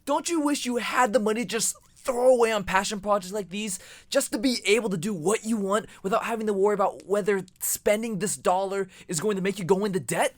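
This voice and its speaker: A performer playing a young, defensive, excitable submarine engineer in an amateur audio drama. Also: mid-range voice, brisk, few pauses, natural voice.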